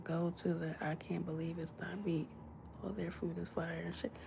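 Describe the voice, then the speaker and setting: A young woman live-streaming indoors in someone else's house, chatting casually with her viewers in a hushed, low voice that often drops to a whisper, and acting out the voices in her stories. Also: normal speed, vocal fry, background noise. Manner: animated storytelling, acting out dialogue.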